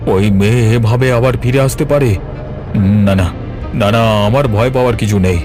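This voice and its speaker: A man voicing a guilty, suspicious village master in an animated horror story, stammering and demanding, jumpy yet defiant. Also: mid-range voice, moderate pace, over music, natural voice. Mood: shaken, defiant. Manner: stammering, demanding, repeats questions.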